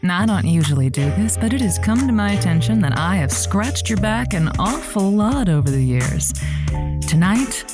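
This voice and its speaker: Woman acting sharp-tongued radio host, teasing, swooping lively delivery, mid-pitched, music behind. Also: normal speed, natural voice. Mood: sultry on-air. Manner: indignant and teasing, sultry on air. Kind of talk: audio drama acting.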